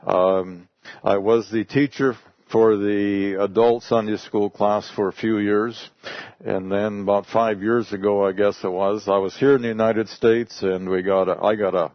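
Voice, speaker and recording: A man's voice: lowish voice, no echo. A man whose first language is English, a missionary telling a congregation about his work in a folksy, conversational storytelling way. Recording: dull lo-fi recording.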